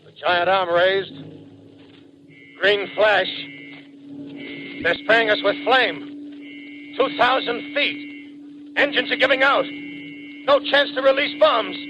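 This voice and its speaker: An actor playing a resolute military bomber pilot, reporting in a clipped, formal way in 1930s radio drama style. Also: male voice, sits high, slow pace, long pauses. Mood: desperate. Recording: noisy muffled 1930s radio, engine drone behind.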